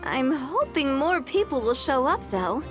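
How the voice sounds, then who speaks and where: Plucky young organiser, high animated voice, slower pace, video game, music behind. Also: female voice. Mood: upbeat. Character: plucky young woman event organiser, bluffing tough.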